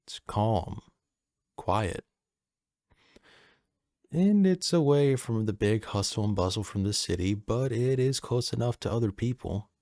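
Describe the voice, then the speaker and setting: A man playing a soft-spoken, low-voiced manor assistant. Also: no room sound, clean studio sound. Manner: soft-spoken, casual, hesitant, chatty. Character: friendly, easygoing male personal assistant at a manor. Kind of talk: soft-spoken audio roleplay addressed to the listener.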